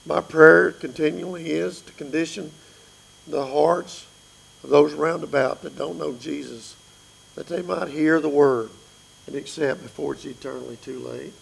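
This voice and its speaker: A man in his fifties with a raspy voice, preaching an earnest sermon that pleads with his listeners. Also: on the high side, fairly even intonation, unhurried, rough recording.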